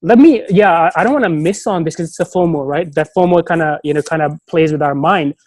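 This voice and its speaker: A man's voice: a little high, brisk, darkish tone, dry recording, clean studio sound. A man, not a native English speaker, chatting as an interview guest, rambling with many fillers and restarts. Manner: rambling, heavy on "you know" and restarts. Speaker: guest, startup advisor. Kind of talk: casual interview chat.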